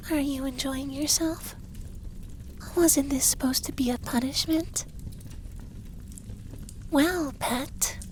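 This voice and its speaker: A man voicing an effeminate young dragon lover in an intimate ASMR roleplay, speaking softly and breathily, teasing and commanding yet doting. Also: very high-pitched, a little hiss or hum, poor audio. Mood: teasing, dominant.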